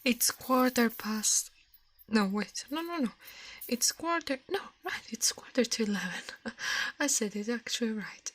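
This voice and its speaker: A woman doing an ASMR livestream, chatting to viewers in a breathy, mostly whispered voice and softly repeating her phrases. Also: fairly high pitch, animated. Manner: slow-drifting, repeats words and phrases softly.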